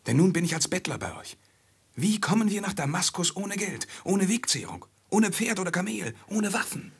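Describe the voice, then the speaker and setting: Ein Schauspieler spielt in einem Hörspiel der 1950er einen treuen, besorgten Diener in Bettlergestalt mit belegter Stimme. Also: male voice, sits high, sing-song, moderate pace, gravelly, breathy edge, heavily acted. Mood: anxious, pleading. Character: loyal servant in beggar's guise, anxious, practical. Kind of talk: 1950s German radio play acting. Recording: 1950s mono radio drama.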